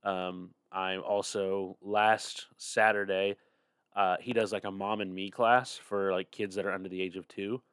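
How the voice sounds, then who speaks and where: A man's voice: deep voice, level tone, measured pace, fairly bright tone, smooth, dry recording, studio quality. A young man getting over a cold, chatting casually as a podcast co-host. He is tired, yawns, rambles and laughs often. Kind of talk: casual chat.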